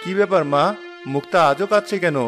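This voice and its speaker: High-pitched, concerned village husband played by a man. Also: fast, music in the background, lightly acted. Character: concerned, weary village husband and dutiful son. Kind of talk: cartoon character dialogue.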